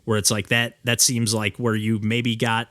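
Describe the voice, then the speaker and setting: A man's voice: on the low side, completely flat delivery, normal speed, a bit bright, no echo, studio quality. A man hosting a podcast, chatting casually over a remote call and rambling with lots of filler words and restarts. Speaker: podcast host. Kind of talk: casual interview chat.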